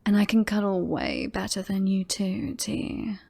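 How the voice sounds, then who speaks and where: A woman playing a possessive, lovestruck female werewolf in a roleplay audio drama, teasing and boastful, often slipping into breathy whispers. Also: medium pitch, fairly even intonation, warm tone. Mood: smug, teasing. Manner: slow, breathy asides, often dropping into whispers.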